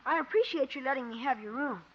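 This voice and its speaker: A woman's voice: high-pitched, fast. A woman voicing a prim, know-it-all runaway boy in a 1950s radio sitcom. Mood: polite. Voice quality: prim, precise and superior. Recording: dull, noisy 1950 radio recording.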